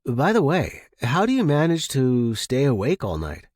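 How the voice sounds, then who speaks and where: A man's voice: mid-range voice, huge pitch swings, normal speed, darkish tone, no echo, clean studio sound. A man voicing a demanding female office boss in a story, curt and businesslike. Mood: guarded, curt. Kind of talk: narrated story character dialogue.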